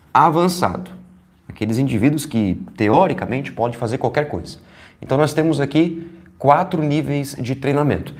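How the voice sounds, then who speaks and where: Slightly hoarse Brazilian man teaching live. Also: medium pitch. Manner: chatty, greets viewers by name, keeps checking in with the audience. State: slightly hoarse from a stuffy nose. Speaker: strength training educator hosting a live stream. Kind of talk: casual live-stream lesson.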